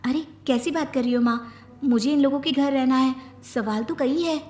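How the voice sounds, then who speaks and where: A woman's voice: sits high, normal speed, a little mellow, reverberant, natural voice. A woman voicing a stubborn, dramatic young daughter-in-law in a cartoon story, indignant and argumentative. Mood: protesting.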